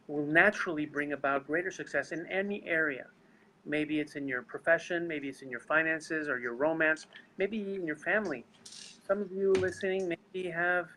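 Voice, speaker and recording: A man's voice: a little high, sharp tone, poor audio. A man with a non-native English accent, earnestly explaining his seminar work and speaking straight to viewers over a video call. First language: not English. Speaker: seminar leader. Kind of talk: live webinar talk.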